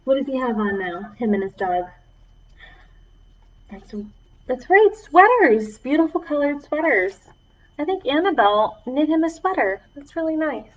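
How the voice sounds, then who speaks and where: A woman's voice: sits high, sing-song, measured pace, highs cut off. A woman children's librarian reading aloud to preschoolers, with encouraging asides to the little listeners. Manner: encouraging, addressing small children.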